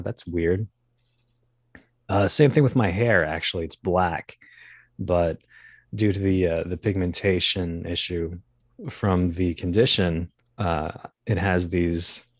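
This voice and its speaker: Male, early thirties, low-pitched, dryly recorded; casual interview guest with a halting, self-deprecating wit. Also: no echo, studio quality. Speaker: guest, voice actor and comedian. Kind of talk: casual interview, anecdotal storytelling.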